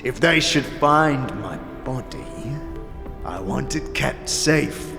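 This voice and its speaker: An actor playing a sly, bitter old withered lord of scavengers in a fantasy audio drama, with a breathy, gravelly voice and halting, theatrical phrasing. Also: male voice, mid-range voice, expressive. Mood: mocking, wary. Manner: theatrical, halting, drawn-out phrasing with muttered asides. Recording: roomy reverb, music behind.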